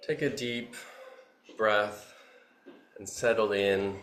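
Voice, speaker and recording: A man teaching a guided meditation, giving gentle, unhurried instructions in short phrases with long pauses between them. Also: fairly low pitch, steady tone. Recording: roomy echo, faint background noise.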